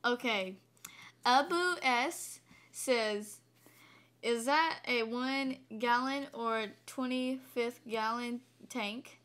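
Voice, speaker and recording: Woman vlogger, deep voice, slow and hesitant, many ums; reads comments in casual chat. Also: a bit bright. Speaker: vlogger reading viewer comments. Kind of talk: casual home video chat.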